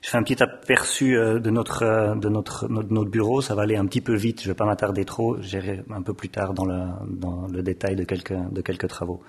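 A man giving a conference talk from a desk on stage in a lecture hall, often pausing and searching for his words. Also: on the low side, little pitch movement, slightly rough. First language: French. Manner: hesitant, often searching for words with many fillers. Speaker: graphic designer giving a lecture.

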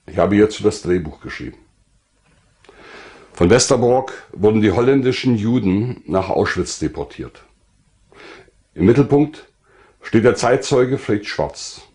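An older man narrating his own life story from a script in a measured, formal way. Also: on the low side, lively intonation, darkish tone.

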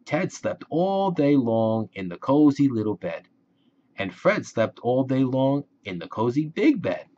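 Male narrator for kids' picture book, unhurried and very lively pitch, slightly dull lo-fi recording. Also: slight background noise. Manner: slow, deliberate, expressive phrasing for young listeners. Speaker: children's book reader. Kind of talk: storybook read-aloud narration for children.

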